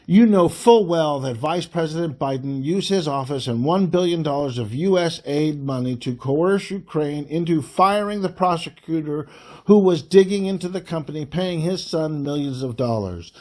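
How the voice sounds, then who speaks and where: A man reading a formal political letter aloud in an angry, indignant, emphatic voice, breaking phrases into short, deliberate pieces. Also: a little high, a little mellow.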